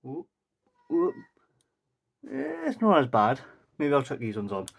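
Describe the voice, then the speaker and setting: A man's voice: fairly high pitch, wildly swooping pitch, measured pace, gravelly, husky. A Welsh man in his twenties from South Wales, rambling through a casual Q&A vlog to a mic and camera with lots of fillers. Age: 20s. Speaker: vlogger. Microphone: mic facing camera.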